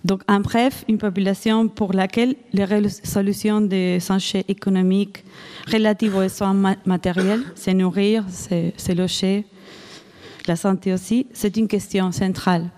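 A woman's voice: medium pitch, level tone, measured pace, warm tone. A woman from Argentina with an Argentine Spanish accent, speaking French as a second language, giving an academic conference talk with frequent restarts and fillers. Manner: hesitant, many restarts and fillers. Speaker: researcher presenting at a conference.

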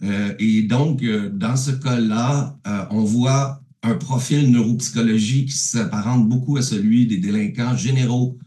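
A man's voice: mid-range voice, fairly even intonation, measured pace, few pauses, mellow, reverberant. A man with a Québécois French accent, a neuropsychologist giving a conference talk over a video call from home, hesitant with many fillers and restarts. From Quebec, Canada. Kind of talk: conference presentation. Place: at home, alone.

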